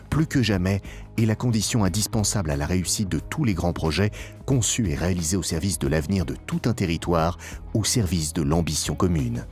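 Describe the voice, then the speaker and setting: A man reading a scripted promotional documentary narration in a formal, measured way, with emphatic phrasing. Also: low-pitched, a little mellow. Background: background music.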